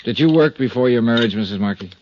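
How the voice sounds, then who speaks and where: An American actor playing a shrewd, tough insurance investigator in a 1950s radio drama, with a clipped, probing, coolly polite delivery. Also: male voice, mid-range voice, animated, fast talker, a little mellow, slight background noise, close to an everyday voice. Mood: smooth, probing. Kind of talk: 1950s radio detective acting, narration and dialogue. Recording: muffled 1950s radio recording.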